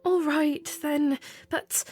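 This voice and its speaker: A woman playing an earnest, gentle young princess with polite, hesitant phrasing in a fan audio drama. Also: high voice, fairly even intonation, slow. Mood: earnest, reluctant. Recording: music under the voice.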